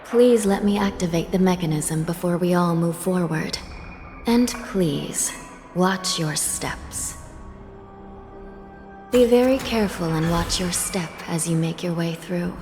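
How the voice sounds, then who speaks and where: Slow, solemn female underworld judge. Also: on the low side. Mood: grave warning. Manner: polite, measured, formal, ominous. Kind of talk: scripted video game dialogue. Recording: long hall reverb, music behind.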